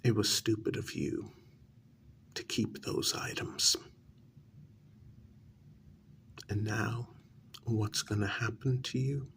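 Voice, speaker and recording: A man playing a coldly vengeful, aristocratic vampire master in an ASMR role-play, half-whispering with deliberate, mock-polite menace. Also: fairly low pitch, steady tone, a little hiss or hum. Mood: quiet menace. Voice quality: breathy half-whisper with gravelly creak.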